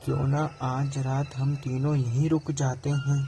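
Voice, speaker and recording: A man playing a foolish, excitable young Brahmin student in a cartoon moral story, speaking in an earnest, theatrical way. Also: mid-range voice, measured pace, close to an everyday voice. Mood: polite. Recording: music laid under the voice.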